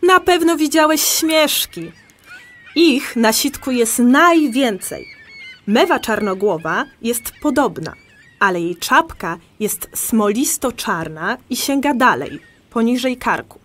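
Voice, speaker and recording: An actress playing a patient, enthusiastic amateur birdwatcher who explains things in a conversational audio drama. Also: female voice, on the high side, expressive, takes it slowly, fairly bright tone, heavily acted. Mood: enthusiastic, informative.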